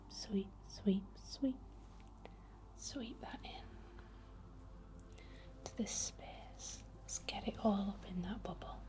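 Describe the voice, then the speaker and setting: A woman whispers slowly and gently, as if leading a calming ASMR ritual. Her voice is soft, breathy and mellow, and she soothingly repeats words as she guides the listener. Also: mid-range voice. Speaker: ASMR host performing a healing ritual.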